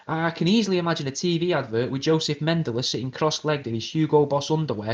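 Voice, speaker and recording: A British man hosting an internet radio show, delivering a crude, rambling comedic conspiracy monologue in a deadpan way. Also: a little high, highs cut off. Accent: British English.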